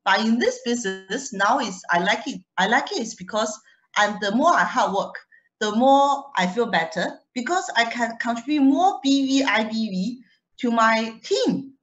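A woman's voice: on the high side, expressive, moderate pace, fairly bright tone, muffled recording. Chatty young woman with a non-Singaporean accent. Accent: foreign, not Singaporean. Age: young adult. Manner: chatty, laughs often, restarts words. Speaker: guest speaker. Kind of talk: personal testimonial.

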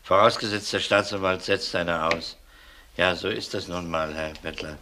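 An actor playing a shrewd, methodical middle-aged police detective in a German crime radio play, measured, probing and courteous. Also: male voice, low-pitched, very noisy, natural voice. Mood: affable, sly.

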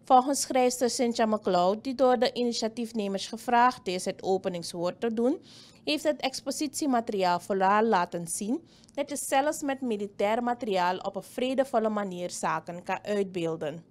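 A woman's voice: on the high side, moderate pace. Woman reading a news voice-over. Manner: steady, even reading. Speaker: news reporter.

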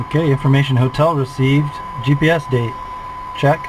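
A man's voice: medium pitch, steady tone, moderate pace, background noise. A flight instructor in his forties, calling out a preflight checklist in a methodical, clipped way from a small plane cockpit on a headset.

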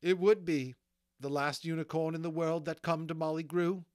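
A man's voice: on the high side, very animated, measured pace, no room sound, studio quality, pitched above the performer's own voice. A man voicing a bitter middle-aged peasant woman in a storybook, fierce, wounded and scolding. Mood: gruff, softened. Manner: scolding, anguished outbursts.

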